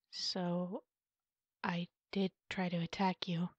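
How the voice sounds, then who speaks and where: A woman's voice: breathy edge, no echo, highs cut off, full character voice. A woman playing a distraught, ashamed werewolf girlfriend in an ASMR roleplay, stammering and apologetic, with sighs breaking up her words. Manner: halting, stammering, sighing. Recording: steady rumbling background noise.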